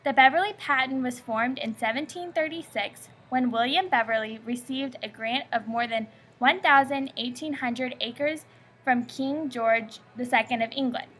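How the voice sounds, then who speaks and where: A young woman reads her family-history project aloud, holding an even, rehearsed recitation. Her voice sits high and sounds bright and sharp. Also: moderate pace. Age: young adult. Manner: steady scripted recitation. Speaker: student presenter. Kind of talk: reading a school family-history presentation.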